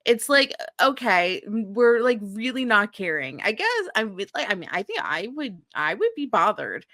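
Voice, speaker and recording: A woman's voice: medium pitch, sing-song, moderate pace, pushed and bright, dry recording. A woman chatting casually and bluntly as a podcast host recapping television.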